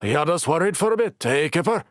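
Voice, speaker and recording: A man's voice: fairly high pitch, expressive, quick pace, no room sound, clean studio sound, pitched above the performer's own voice, close to an everyday voice. A man voicing a loyal foreman who banters and stands up for his friends. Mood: bantering.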